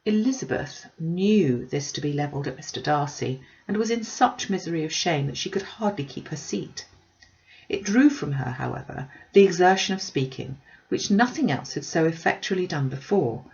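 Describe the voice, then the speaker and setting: A woman's voice: low voice, very animated, normal speed, vocal fry, a little mellow, dull audio. A woman reading a classic novel aloud as scripted audiobook narration.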